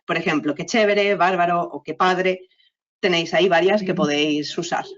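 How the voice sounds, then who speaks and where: A woman with a lowish voice explains a textbook's approach at length, leaning on small fillers as she goes. The sound is dull and muffled, like a video call. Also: fairly even intonation, normal speed. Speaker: textbook co-author. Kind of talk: online panel talk.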